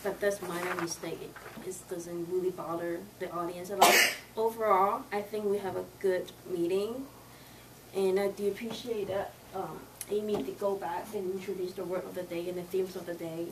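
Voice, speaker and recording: A woman's voice: lowish voice, fairly even intonation, normal speed. Hesitant woman giving meeting feedback. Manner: hesitant, repeats words. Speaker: meeting evaluator. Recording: distant mic with noise.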